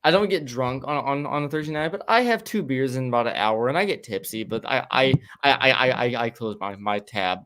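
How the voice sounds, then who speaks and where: A male podcast co-host chatting over a remote call. His pitch is mid-range for a man. He talks in loose, rambling bursts and swears offhandedly. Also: a little fast, few pauses, pushed and bright. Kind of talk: casual chat.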